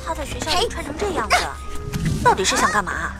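A brash, sassy schoolgirl full of mockery in an audio drama. Her high voice swings widely over loud music. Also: huge pitch swings, fast talker. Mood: mocking. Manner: snarky, brash. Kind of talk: Chinese audio drama acting.

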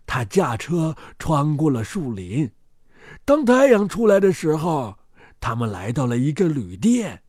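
A male storyteller reads a children's fairy tale with great drama. His voice sits high for a man and moves widely in pitch, in a dry, close recording. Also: sing-song, a little slow, darkish tone, dry recording.

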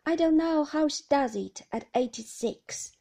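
A woman voicing a brisk, relentlessly cheerful nurse who chats in a high, bright manner. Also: vocal fry. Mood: chirpy. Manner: bright, chirpy, chatty.

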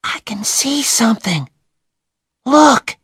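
A reader voicing a nervous young boy whispering in the dark. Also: medium pitch, big pitch swings, very slow, husky, no echo, studio quality, pitched above the performer's own voice, heavily acted. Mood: tense whisper. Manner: tense hushed whispering. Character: nervous boy Tom, bold but tense.

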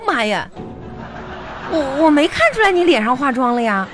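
A woman's voice: high-pitched, sing-song, moderate pace. A woman playing a brusque, sarcastic female office manager in a radio comedy, curt, exasperated and mocking. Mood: incredulous. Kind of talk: radio comedy sketch acting. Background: music.

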